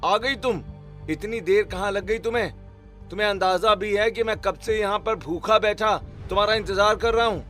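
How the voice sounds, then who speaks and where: A man playing an impatient, irritable husband in an animated family drama. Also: sits high, moderate pace, faint music behind, voice pushed up. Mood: irritated.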